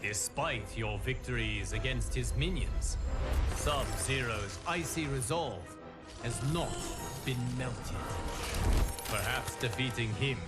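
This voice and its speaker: A man voicing a solemn, eloquent guardian of timelines, narrating video game cutscenes in formal, measured, grandiloquent language. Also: mid-range voice, loud music behind the voice, close to an everyday voice. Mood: grave. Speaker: story narrator.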